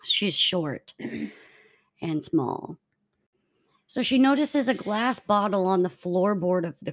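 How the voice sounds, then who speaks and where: A woman podcast host with a mid-pitched voice tells a true crime story. She moves between narration and informal chat, sounding conversational throughout.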